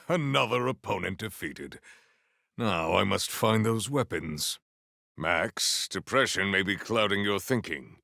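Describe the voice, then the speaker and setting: Deep, gravelly, formal warrior. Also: male voice, sharp tone, no echo, studio quality, exaggerated voice. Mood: brisk, resolute. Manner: formal, stilted, grandiose diction. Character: proud, potent warrior Vault Hunter, formal and deadpan. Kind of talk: scripted video game character lines.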